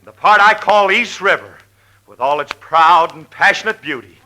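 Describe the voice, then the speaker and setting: A man's voice: sits high, animated, normal speed, bright, forceful tone, a little hiss or hum, rough recording. A man playing a delicate young musical genius in a 1940s radio comedy melodrama, overwrought and earnestly lofty. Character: young self-important composer, delicate dreamy musical genius. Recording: vintage 1940s radio broadcast recording.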